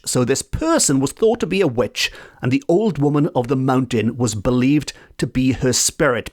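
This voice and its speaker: A man from Wales who speaks Welsh, hosting a folklore podcast from home and telling the tale with playful asides. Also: medium pitch. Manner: lively, animated storytelling with playful asides. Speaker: podcast host. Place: home in a residential area.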